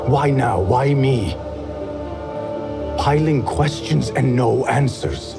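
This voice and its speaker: An actor playing a troubled young assassin, earnest and guarded, speaking with quiet intensity. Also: male voice, mid-range voice, lively intonation, slow, gravelly, music in the background. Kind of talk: game cutscene acting. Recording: loud score under dialogue.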